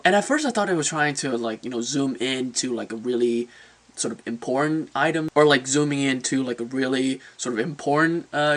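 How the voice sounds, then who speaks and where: A man with a mid-pitched voice hosts a casual review, speaking straight to his viewers. He is informal and opinionated, often doubling back on words as he works out how to phrase his verdict. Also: fairly bright tone. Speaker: movie reviewer, channel host.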